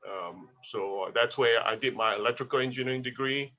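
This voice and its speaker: A man's voice: medium pitch, moderate pace, pushed and bright, dull audio. A man in his fifties from Malaysia, talking about his life as an interview guest with many small restarts. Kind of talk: casual interview.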